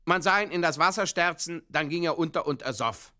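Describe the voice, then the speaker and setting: A man's voice: a little high, brisk, pushed and bright. A man playing a pompous, tipsy amateur poet, declaiming comic verse mock-solemnly in a radio play.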